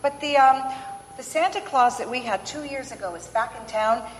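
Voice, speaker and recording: A woman playing a practical, skeptical working mother in a radio play, polite, measured and firm. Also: fairly high pitch, natural voice. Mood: awkward, abrupt. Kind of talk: old-time radio play acting. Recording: echoey hall with faint ambient noise.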